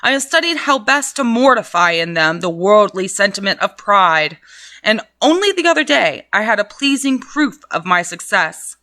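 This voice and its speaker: A woman's voice: mid-range voice, big pitch swings, normal speed, pushed and bright. Woman reading as a sanctimonious clergyman. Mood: pompous. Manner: sanctimonious, moralizing. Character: pompous stern male clergyman.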